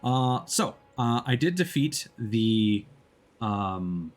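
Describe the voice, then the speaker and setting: A man giving casual, explanatory let's-play commentary as a game streamer, talking in a halting way. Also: medium pitch, expressive. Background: background music.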